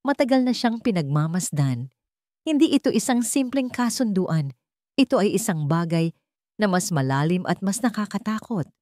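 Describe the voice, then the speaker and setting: A woman's voice: mid-range voice, animated, moderate pace, mellow, no echo, studio quality. A woman with a Filipino accent narrating a scripted dramatic story.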